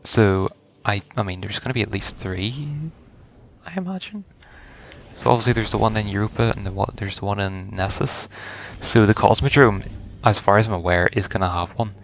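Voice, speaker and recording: A man's voice: lowish voice, moderate pace, gravelly, slight background noise. A man giving casual first-reaction commentary on a video game, rambling and thinking aloud as he goes. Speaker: gaming video host.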